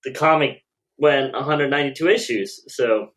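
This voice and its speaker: A man's voice: mid-range voice, moderate pace, a bit bright, rough recording. A man co-hosting a podcast, chatting casually in an informal, slangy way. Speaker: podcast co-host. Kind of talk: casual chat among comics fans.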